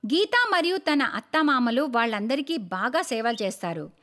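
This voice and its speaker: A woman's voice: sits high, big pitch swings, normal speed, a bit bright. A woman narrating a scripted moral story as its storyteller.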